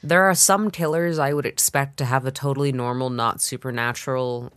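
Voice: mid-range voice, steady tone, a little fast, a bit bright, clear, resonant tone, no echo. A podcast co-host talking in a casual discussion.

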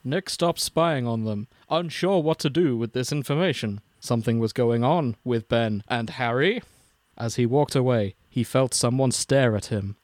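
A man's voice: mid-range voice, no room sound. A man co-hosting a casual comic podcast. He reads fanfiction aloud and jokes in a brash, sweary way. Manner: brash, sweary, quick to joke. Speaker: podcast co-host and reader.

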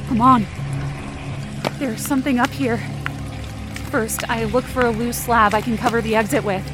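A woman with a fairly high voice performs a scripted audio drama in the first person. Her narration is intense and suspenseful, as a frightened adventurer in a dark supernatural tale. Music plays clearly behind her. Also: fairly even intonation, moderate pace. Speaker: fiction podcast narrator and performer. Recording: music bed under the voice. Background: dramatic background music.

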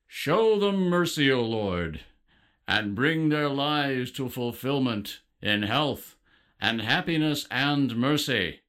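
An actor playing an excitable, devout father in a religious audio drama. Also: mid-range voice.

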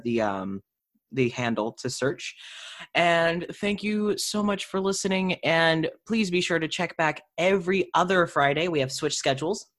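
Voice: medium pitch, fairly bright tone. A podcast host with a chatty, informal way of talking, speaking casually to guest and listeners. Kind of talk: casual podcast hosting and sign-off.

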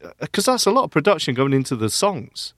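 Man, podcast co-host, casual game review chat, animated intonation, bantering, dry sound. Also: on the high side, brisk, no room sound.

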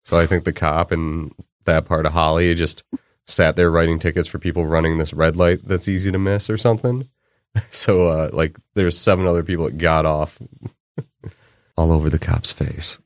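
A man's voice: low-pitched, fairly even intonation, normal speed, dry recording. A male comedian telling offhand stories and dry, offbeat jokes on a podcast. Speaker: comedian guest. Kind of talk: casual comedy podcast chat.

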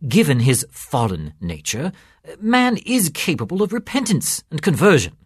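Man playing a genial priest, very animated pitch. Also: normal speed, breathy edge, no room sound, clean studio sound, exaggerated voice. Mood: earnest, resolute. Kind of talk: storytelling character voice.

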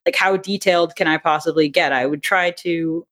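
A woman in a casual interview chat, talking in an animated way and acting out bits of quoted thought as she explains her creative work. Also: low-pitched, a little fast. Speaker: interview guest, audio drama writer and director.

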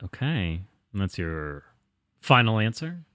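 A man's voice: on the low side, big pitch swings, slow pace, fairly bright tone, dry recording. A man hosting a casual comedy podcast, joking in a playful, dry way. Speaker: podcast host.

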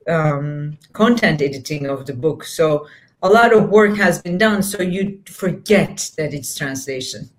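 A woman's voice: sits low, lively intonation. Woman author. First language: Turkish. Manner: thoughtful, with frequent pauses and fillers. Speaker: author, guest. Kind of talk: casual interview talk.

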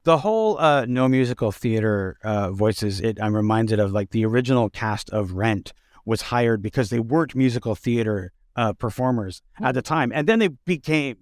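Man, interview host, medium pitch, halting conversational phrasing, dry recording. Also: expressive, a little mellow, dry recording.

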